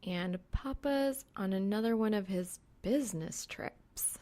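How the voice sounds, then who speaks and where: A woman voicing a sweet, slightly shy young student with a light, gentle, expressive delivery in an audiobook. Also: mid-range voice, unhurried, pitched above the performer's own voice, close to an everyday voice. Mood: matter-of-fact. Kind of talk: audiobook character acting.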